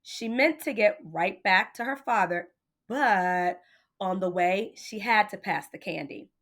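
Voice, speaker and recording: A woman reading a picture book aloud like a teacher, in animated storytelling style, speaking directly to young listeners. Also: medium pitch, unhurried. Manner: addresses child listeners directly, with questions.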